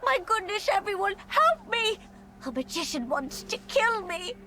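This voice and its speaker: A woman's voice: very high-pitched, very animated, a little slow, noisy background, heavily acted. An actress playing a bereaved, vengeful old mother in a video game, wailing and cursing. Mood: outraged. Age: older. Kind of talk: dramatic video game acting. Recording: music behind the voice.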